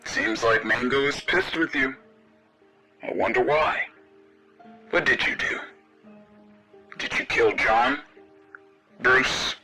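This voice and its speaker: A man voicing a taunting, menacing police detective in an audio story, speaking in a sardonic, deliberate, threatening way. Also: mid-range voice, bright, forceful tone, faint music behind, exaggerated voice.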